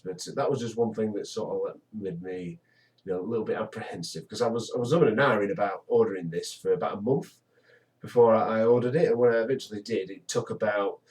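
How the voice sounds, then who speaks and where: A man's voice: on the low side, a little mellow. A young British man from the UK casually reviewing a guitar he bought, talking in a rambling, hesitant way full of restarts. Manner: rambling, hesitant, self-correcting. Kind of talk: casual product review vlog. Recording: voice a bit far from the mic.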